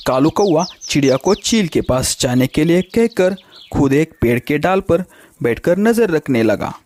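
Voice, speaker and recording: High-pitched male children's storyteller. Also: expressive, a little slow, a little mellow. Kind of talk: scripted children's story narration.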